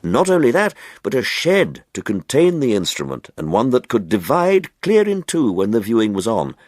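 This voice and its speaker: A man's voice: mid-range voice, huge pitch swings, normal speed, darkish tone, no room sound, clean studio sound, voice pushed up. A man reading aloud as a rapturous, earnest, proud older amateur stargazer in an audiobook story, lingering emphatically on his words. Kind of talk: audiobook character dialogue.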